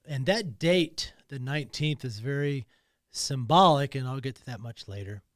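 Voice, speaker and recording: A man's voice: medium pitch, moderate pace, no room sound. A man talking alone in a podcast studio, emotional and emphatic, often repeating himself. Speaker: podcast host. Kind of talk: personal monologue.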